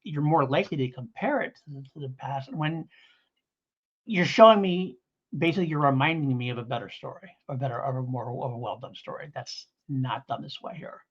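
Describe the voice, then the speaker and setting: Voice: medium pitch, fairly quick, slightly rough. A podcast co-host in a casual review discussion, talking in a hedging, rambling way and thinking aloud. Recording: dull lo-fi audio.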